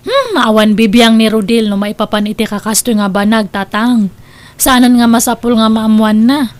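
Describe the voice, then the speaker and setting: Casting: actress as evasive indebted wife, Ilocano, high-pitched, hesitant and slippery, for comedic radio drama. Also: female voice, moderate pace, lightly acted. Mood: defensive. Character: evasive married woman who spends on credit, hides her debts.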